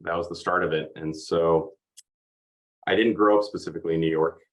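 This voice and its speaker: A man's voice: low-pitched, fairly even intonation, brisk. A young man from Oregon, a podcast guest chatting casually with many fillers. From United States, Oregon. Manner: easygoing, hesitant with many fillers. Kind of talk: casual interview chat.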